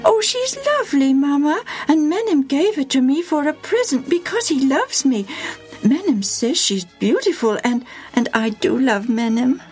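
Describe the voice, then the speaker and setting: A sweet, earnest teenage girl played by a woman storyteller, pitched high and lilting. She is shy yet stubborn and lovestruck, pleading her case in a children's Bible story. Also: big pitch swings, moderate pace, darkish tone, faint music behind.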